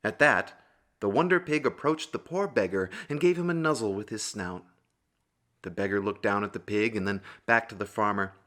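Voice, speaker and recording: A man's voice: lowish voice, brisk, fairly bright tone. A man narrating a scripted fairy tale for a puppet show in a theatrical storyteller manner.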